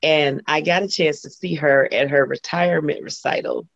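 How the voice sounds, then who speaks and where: A middle-aged woman from Los Angeles with a husky voice, talking as a guest singer on a video call. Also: sits low, fairly even intonation, moderate pace, no echo. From Los Angeles, USA. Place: home room indoors. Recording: dull lo-fi video call audio.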